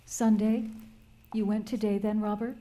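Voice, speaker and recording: A woman playing a gentle, grieving mother in a live radio drama, tender and restrained. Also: mid-range voice, steady tone, moderate pace, a little hiss or hum, natural voice. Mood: tender concern. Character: gentle grieving mother hiding tears from family.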